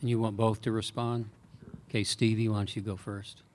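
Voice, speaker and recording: Low-voiced male moderator. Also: flat delivery, a little fast, a little mellow. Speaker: press conference moderator. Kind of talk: formal, polite moderation.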